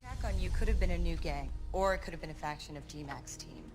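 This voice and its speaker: An actress playing a terse, serious police handler giving mission briefings in a video game, with loud music behind her. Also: on the low side, lively intonation, fast talker, lightly acted. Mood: serious, urgent. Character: no-nonsense female police handler briefing an undercover driver.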